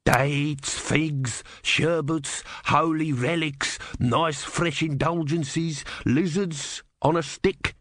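A man's voice: fairly high pitch, fairly even intonation, very slow, hardly any pauses, fairly bright tone, no room sound, voice pushed up, full character voice. A man putting on a pushy, hopeful street peddler who hawks his goods with a wheedling sales patter. The voice is a little raised. It is heard dry and close. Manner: hawking, wheedling.

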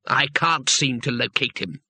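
A touchy, self-important wizard who snorts and sputters at every affront, as a male narrator puts him on. His voice is bright and a little pinched, recorded close and dry. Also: mid-range voice, a little slow, breathy edge, no room sound, full character voice. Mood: indignant. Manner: huffy, sneering, sputtering.

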